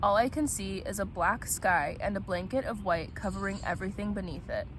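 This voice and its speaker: A woman's voice: mid-range voice, fairly quick. A woman speaking in a playful, casual way as a vlog host, chatting and reading aloud. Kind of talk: casual vlog chat and novel read-aloud. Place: outdoors at a picnic spot. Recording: noisy outdoor recording with traffic ambience.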